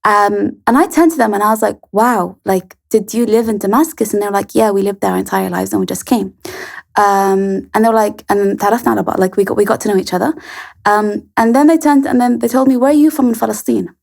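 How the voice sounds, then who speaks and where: A young woman leads a relaxed interview and slips easily into long personal stories, dotted with ums. Her voice sits in a middle range with a lot of vocal fry, and it stays conversational and easygoing. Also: mid-range voice, fast. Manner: chatty, storytelling, frequent fillers.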